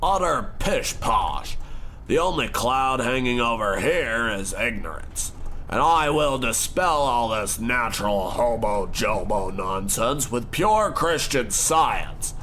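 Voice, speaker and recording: A man's voice: medium pitch, lively intonation, takes it slowly, pushed and bright, slight background noise. A man playing a naive, pompous, well-to-do young student in a comedic old-time radio play, prim and wordy. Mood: pompous, indignant. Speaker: protagonist.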